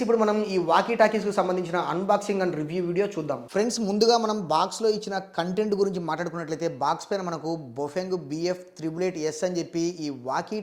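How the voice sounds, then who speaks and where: A man narrating an unboxing and review, explaining things step by step as the host. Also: sits high.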